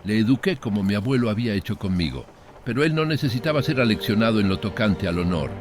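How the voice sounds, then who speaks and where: A man's voice: on the low side, expressive, fast, music in the background, lightly acted. A man voicing a proud, lonely old planter who recounts his family past in a wistful, dignified audiobook monologue. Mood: wistful reminiscing.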